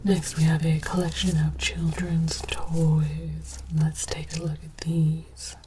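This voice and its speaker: A woman whispering breathily in a hushed, mock-scholarly ASMR roleplay as a calm, curious alien archaeologist presenting objects. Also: deep voice, slow pace, a little hiss or hum. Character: calm, curious alien archaeologist researcher studying human relics.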